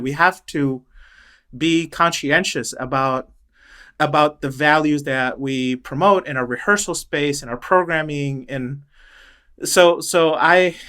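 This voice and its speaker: A middle-aged man speaking as an interview guest, thoughtful and halting, with many restarts and fillers. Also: a little high, sharp tone. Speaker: interview guest, choral director. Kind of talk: reflective interview talk.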